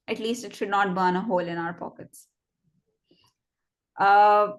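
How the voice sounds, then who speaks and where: Chatty young woman giving friendly advice. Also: mid-range voice. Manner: chatty, encouraging, quick asides with fillers. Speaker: host of a student network session. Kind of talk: interactive online session, casual advice.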